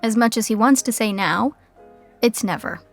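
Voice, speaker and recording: A woman's voice: fairly high pitch, moderate pace. Woman narrator over faint music. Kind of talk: scripted sitcom narration.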